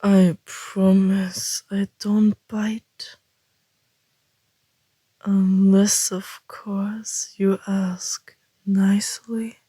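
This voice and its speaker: A woman acts out a flirtatious male vampire in an ASMR roleplay, predatory yet courteous. The voice is very slow and dark, dropping into breathy half-whispers with a teasing, deliberate delivery. It sounds close and dry. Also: mid-range voice, flat delivery, no echo. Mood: inviting, flirty. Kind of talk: ASMR roleplay, intimate scripted monologue.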